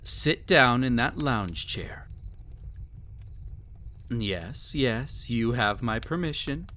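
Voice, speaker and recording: A man's voice: medium pitch, animated, extremely slow, sharp tone, a little hiss or hum, exaggerated voice. A man playing a cruel, possessive, vain emperor toying with a captive in an intimate audio roleplay, with haughty, teasing, theatrical phrasing. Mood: smug indulgence.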